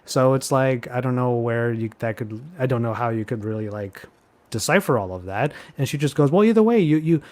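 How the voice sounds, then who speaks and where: California dude on a podcast, normal pitch, slangy n emphatic, telling stories casually. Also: male voice, animated, fairly quick, darkish tone. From Los Angeles, United States. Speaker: podcast co-host and tech content creator. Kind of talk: casual chat with animated anecdotes.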